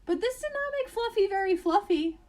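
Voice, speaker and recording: A woman reading a children's picture book aloud as a bedtime story, with expressive emphasis and casual asides. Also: very high voice, fairly quick.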